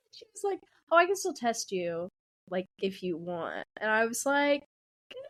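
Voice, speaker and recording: A woman's voice: a little high, very animated, a little slow, fairly bright tone. A woman in her twenties, a podcast co-host, telling a rambling story in casual chat and acting out what people said. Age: 20s. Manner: rambling, laughing, acts out quotes.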